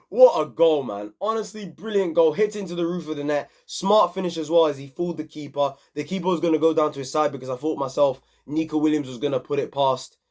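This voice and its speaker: A young man hosting an excited, slangy football match review, a bit hoarse from screaming. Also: fairly high pitch, brisk. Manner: animated, repetitive, slangy. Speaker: football channel host.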